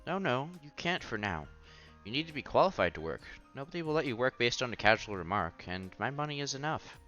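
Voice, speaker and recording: Bright-voiced man reading a visual novel, swooping pitch. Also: big pitch swings, a little fast, highs cut off. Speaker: host reading a visual novel aloud for viewers. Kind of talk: casual read-aloud playthrough with side comments. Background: background music.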